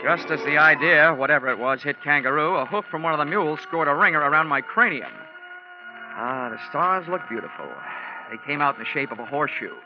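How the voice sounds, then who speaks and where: An actor playing a wry, hard-boiled American private investigator, narrating drily in 1940s radio detective style. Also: male voice, a little high, expressive, brisk, over music, natural voice. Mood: wry narration. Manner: dry, sardonic. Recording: dull 1940s radio recording.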